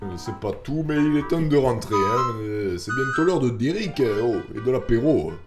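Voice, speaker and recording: A man's voice: mid-range voice, expressive, moderate pace, voice pushed down, close to an everyday voice. A man voicing a drunken, boastful old grandfather who rambles and stammers in a cartoon. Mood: rambling, sheepish. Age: older. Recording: roomy and distant, loud music behind.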